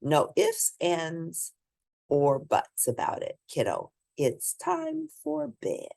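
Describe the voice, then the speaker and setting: A woman's voice: low voice, huge pitch swings, slow pace, vocal fry, darkish tone, no room sound, exaggerated voice. A woman voicing a firm, no-nonsense mother in a children's story, speaking emphatically.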